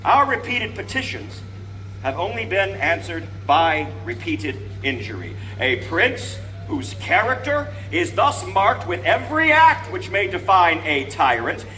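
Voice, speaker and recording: An actor playing an eighteenth-century American statesman, a solemn and fervent orator declaiming grandly and deliberately to the public in a historical reenactment. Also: high-pitched, sing-song, pushed and bright, full character voice. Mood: solemn, aggrieved. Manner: grand, emphatic, deliberate phrasing projected to a crowd. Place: outdoors before a crowd, speaking from a balcony. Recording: outdoor crowd recording from a distance, noisy.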